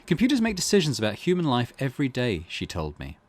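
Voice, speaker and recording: A man's voice: mid-range voice, wildly swooping pitch, fast. A man hosting a let's play, reading game text aloud and commenting on it in a thoughtful, musing way.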